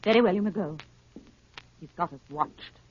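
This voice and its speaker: A woman's voice: lowish voice, normal speed, close to an everyday voice. Actress as a wary lady crook, muffled old radio. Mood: uneasy. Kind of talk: 1930s radio acting. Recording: muffled, noisy 1930s radio broadcast.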